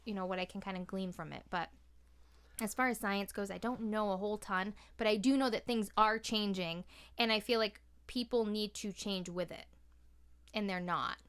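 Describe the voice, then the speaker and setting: A woman's voice: medium pitch, moderate pace, fairly bright tone, clean tone. A woman chatting casually and giving her opinions as a podcast guest, informal and free with swearing.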